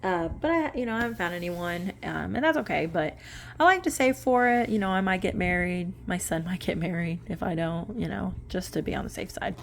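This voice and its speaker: A woman's voice: lowish voice, expressive, a little fast, gravelly, a little hiss or hum. A woman in her late twenties chatting casually while sorting cash into budget envelopes, rambling and correcting herself with small laughs. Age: late 20s. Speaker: budgeting vlogger.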